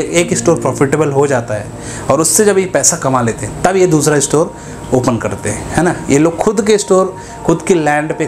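A young man talking casually to camera as a business advice YouTuber, often asking his viewers "right?" between points, recorded on a lapel mic indoors. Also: a little high. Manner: conversational, keeps asking "है ना", gestures with both hands. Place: indoors, grocery-store backdrop.

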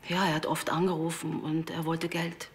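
Actress as a serious, lower-voiced woman witness. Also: level tone, normal speed, close to an everyday voice.

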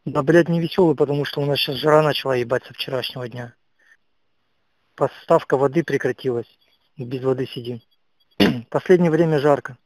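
A man's voice: mid-range voice, fairly quick, dry recording. A man, a soldier, grumbling with constant swearing in a casual intercepted phone call. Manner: grumbling, profane.

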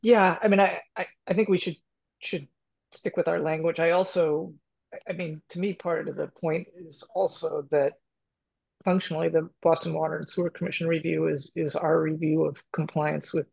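A man with a husky, fairly high voice gives his opinion at a virtual hearing. His delivery is flat and halting, with repeated words, picked up close and dry. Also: no room sound, rough recording. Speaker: commissioner at a public hearing. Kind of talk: giving an opinion in a meeting. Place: indoor room, on a video call.

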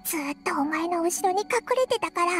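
A woman voicing a small, excitable, childlike fairy companion in a Japanese anime game, squeaky and brash, with boyish phrasing. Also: fast. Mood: nervous. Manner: exclamatory, brash boyish phrasing, stammers when flustered. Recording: game music playing behind.

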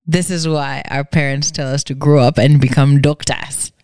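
A young woman co-hosting a casual home podcast, chatty and animated, talking into a desk mic. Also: low voice, moderate pace, clear, resonant tone, no echo, studio quality. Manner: chatty, laughs often, animated. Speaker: podcast co-host. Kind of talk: casual chat. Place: home living room by a staircase. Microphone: studio mic on a desk stand, headphones on.

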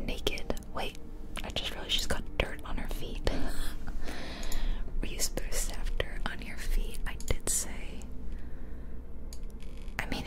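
Female ASMR host, fully whispered, unhurried and chatty, close-miked ear to ear, casual game commentary. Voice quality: soft unvoiced whisper. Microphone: close ear-to-ear ASMR microphone.

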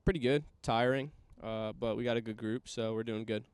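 A young man from New Jersey giving short relaxed answers into a handheld mic on an outdoor soccer field. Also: medium pitch, brisk, smooth. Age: young adult. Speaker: college soccer recruit. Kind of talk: casual on-camera interview.

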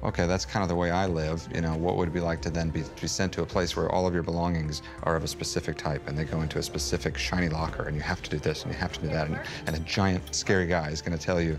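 A man's voice: low voice, level tone, quick pace, hardly any pauses. A man speaking informally in a behind-the-scenes interview, thinking aloud as he goes. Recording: music under the voice. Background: music.

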